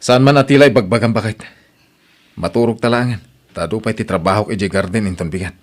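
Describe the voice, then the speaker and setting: A man's voice: on the low side, fairly quick, lightly acted. A man playing a defensive, exasperated husband in an Ilocano radio drama, grumbling and evasive in his replies.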